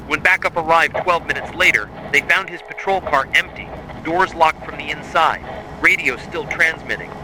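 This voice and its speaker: A man reading a scripted horror narration styled as an official emergency bulletin, in a grave, measured, authoritative way. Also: fairly high pitch, background noise. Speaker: narrator of a mock emergency broadcast.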